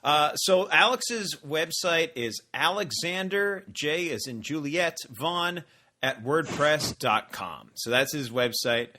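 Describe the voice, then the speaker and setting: A man's voice: on the high side, expressive, slow pace, pushed and bright. A man co-hosting a podcast from home, chatting casually and joking with lots of fillers and restarts. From Canada. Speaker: podcast co-host. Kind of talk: casual chat. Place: at home in front of a computer.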